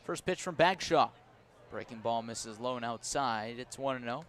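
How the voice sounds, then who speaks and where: A man calling live baseball play-by-play for radio from an outdoor ballpark, in measured, descriptive short phrases. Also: mid-range voice, a bit bright. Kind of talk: live radio sports commentary.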